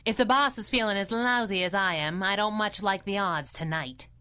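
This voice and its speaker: An actress playing a brash, wisecracking heroine in a radio drama, wry, clipped and slangy. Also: female voice, medium pitch, normal speed, pushed and bright, clean tone, close to an everyday voice. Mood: sardonic. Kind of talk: old-time radio drama acting.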